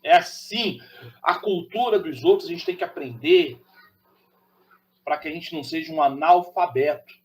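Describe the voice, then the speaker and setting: Carioca host, a man with a slightly high voice, emphatic preachy monologue. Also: animated, moderate pace. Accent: Carioca Brazilian Portuguese. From Rio de Janeiro, Brazil. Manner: preachy, emphatic, rhetorical questions. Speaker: channel host. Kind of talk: opinionated monologue to camera.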